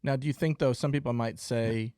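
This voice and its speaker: A man's voice: mid-range voice, steady tone, fairly quick, dark tone, clean tone, no room sound, clean studio sound. A man chatting casually about racing as a podcast co-host.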